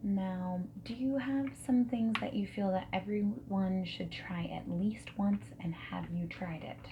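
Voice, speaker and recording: A woman speaking softly and breathily in an ASMR roleplay, often slipping into whispers as she asks gentle, reassuring questions like a friendly interviewer. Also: medium pitch, unhurried, dull audio. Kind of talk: soft-spoken ASMR roleplay. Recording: steady noise, keyboard typing and clicks. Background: mechanical keyboard typing and clicks.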